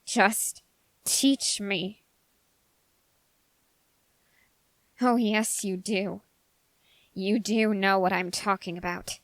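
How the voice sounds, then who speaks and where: A woman voicing a dark, obsessive young man in an ASMR roleplay, speaking formally in deliberate, halting phrases that can slip toward a whisper. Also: mid-range voice, fairly even intonation, dry recording, low-quality recording. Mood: pleading, intense. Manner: silky, formal menace, slipping into whispers.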